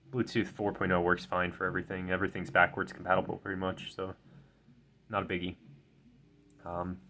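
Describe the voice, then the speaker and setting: Young man, slightly nasal, low voice, tired, rambling unboxing chat, faint background hiss. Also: fairly bright tone. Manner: rambling, self-correcting, many ums and stumbles. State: tired and stuffy. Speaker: tech video creator. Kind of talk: casual unboxing commentary. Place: desk at home, indoors.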